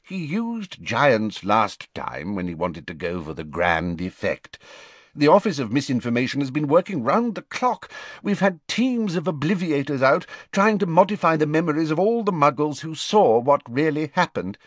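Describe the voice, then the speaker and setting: A man voicing a flustered, high-pitched wizard minister. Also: very animated, dry recording. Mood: miserable. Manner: high, hurried, defensive pleading. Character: flustered, anxious middle-aged male wizard minister.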